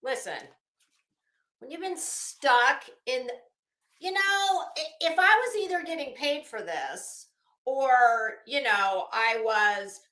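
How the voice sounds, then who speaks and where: A woman's voice: high-pitched, expressive, slow, bright, forceful tone. A woman hosting a casual solo stream, rambling in an exclamatory, sardonic way. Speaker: host. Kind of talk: casual solo livestream chat. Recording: roomy, echoey room sound.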